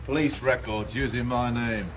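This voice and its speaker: A man's voice: medium pitch, fairly even intonation, normal speed, noisy background, close to an everyday voice. A man playing a sardonic police officer who makes veiled threats, with a Welsh accent, in a 1980s radio drama. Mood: menacing.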